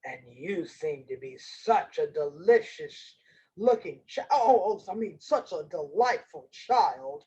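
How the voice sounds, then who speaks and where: A woman voicing a sly, hungry male wolf in a storybook, with oily, falsely polite, drawn-out speech. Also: on the low side, big pitch swings, warm tone, husky, highs cut off, pitched below the performer's own voice, exaggerated voice. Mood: sly, flattering.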